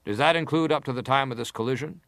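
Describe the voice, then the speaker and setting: An actor playing a formal, insistent senator who questions a witness deliberately in a dramatised hearing. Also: male voice, mid-range voice, a bit bright, dry recording, studio quality, natural voice. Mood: probing, formal. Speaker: actor reading an examining senator. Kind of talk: dramatised reading of hearing testimony.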